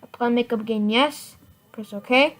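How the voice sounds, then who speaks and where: A woman giving a casual software tutorial in informal, halting step-by-step instructions. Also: on the high side. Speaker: tutorial presenter. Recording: noisy home computer mic, clicks.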